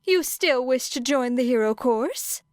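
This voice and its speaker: A woman voicing a small, clever male animal school principal in an audiobook, bright and pleasantly formal. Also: high voice, lively intonation, a little slow, no echo, clean studio sound, pitched above the performer's own voice. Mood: bright, polite. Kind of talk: audiobook character voice.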